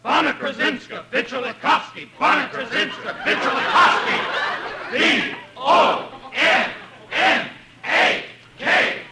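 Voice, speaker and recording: A man's voice: very high voice, takes it slowly, vocal fry, crowd noise, full character voice. A man playing a college cheerleader in a 1950s radio comedy sketch, chanting a cheer. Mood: rousing cheer. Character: shrill college cheerleader spelling out a long name. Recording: noisy old radio recording with audience.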